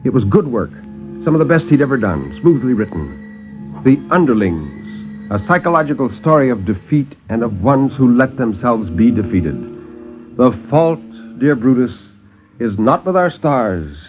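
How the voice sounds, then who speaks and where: A man's voice: medium pitch, very animated, moderate pace. A man reading a horror story theatrically, in a literary 1950s radio storytelling style, on a muffled old recording with music. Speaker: storyteller, co-host.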